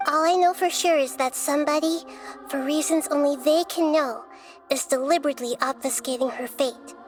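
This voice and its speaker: High voice, calm and measured, gentle young goddess of wisdom voiced by a woman, game cutscene, faint music behind. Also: natural voice. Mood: calm, explaining.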